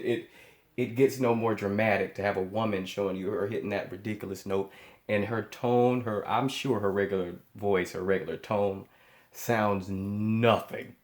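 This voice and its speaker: Echoey, low-voiced American man reacting. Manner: emphatic, repeats phrases in amazement; halting, searching for words. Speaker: music reaction channel host. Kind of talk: casual off-the-cuff reaction commentary. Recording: echoey, roomy sound.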